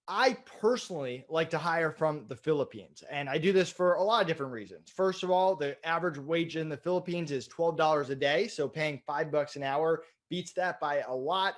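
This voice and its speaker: A man giving an informal, explanatory webinar as a business trainer, in a conversational way. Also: fairly high pitch. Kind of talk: informal instructional presentation.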